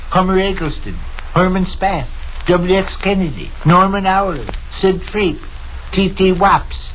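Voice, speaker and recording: An actor playing a pompous, deadpan American family man in an old-time radio comedy, speaking deliberately as if reading a list. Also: high-pitched. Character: Vic, pompous deadpan family man listing odd cronies. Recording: dull, noisy old radio recording.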